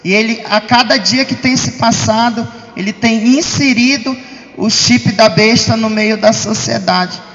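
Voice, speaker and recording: A man's voice: extremely high pitch, a little slow, a bit bright. A man with a Brazilian accent giving an emphatic religious testimony to a congregation, repeatedly calling out to them as brothers. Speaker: church member giving testimony. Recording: dull, muffled sound in reverberant hall.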